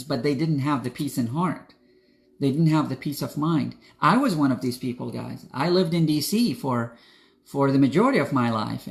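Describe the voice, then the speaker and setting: A young man from Iraq, a spiritual coach, talking straight to his viewers in an earnest, preachy motivational style. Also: on the high side. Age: young adult. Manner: earnest, direct, preachy, addresses viewers as guys. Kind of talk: motivational talk to camera.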